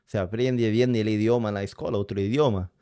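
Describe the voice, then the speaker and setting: A man's voice: on the low side, steady tone, a little fast, mellow, smooth, no room sound. Brazilian man reacting in learner Spanish. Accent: Brazilian Portuguese. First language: Portuguese. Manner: hesitant, searching for words, reads chat aloud. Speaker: reaction streamer. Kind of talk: casual reaction chat.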